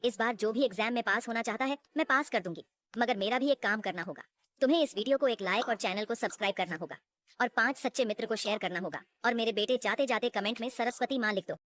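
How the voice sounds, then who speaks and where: A woman's voice: fairly high pitch, fast, fairly bright tone, close to an everyday voice. A woman voicing a coaxing, motherly goddess making a promotional appeal to children. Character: motherly goddess asking children for likes and shares.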